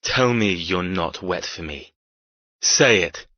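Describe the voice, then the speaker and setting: A man playing a menacing, seductive lover in an audiobook romance, slow and controlled, with a commanding manner. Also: deep voice, animated, pushed and bright, no room sound, lo-fi sound, full character voice. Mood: taunting, demanding.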